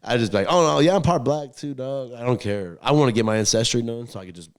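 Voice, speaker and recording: A man's voice: mid-range voice, fast talker. A young man chatting casually as a podcast host, telling rambling stories in slangy, profane talk. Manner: slangy, profane, animated when acting out quotes. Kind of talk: casual rambling storytelling chat.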